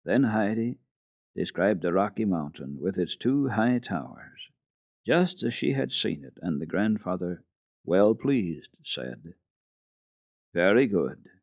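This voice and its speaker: A man giving an expressive dramatic reading of a children's classic as a radio storyteller, from an old radio recording. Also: low voice, a little slow, creaky, dry recording.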